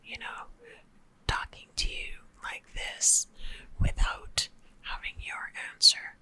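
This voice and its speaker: A woman's voice: slow, pushed and bright. A woman rambling in a chatty, close-mic whisper, talking to a friend in an ASMR video. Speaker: ASMR creator.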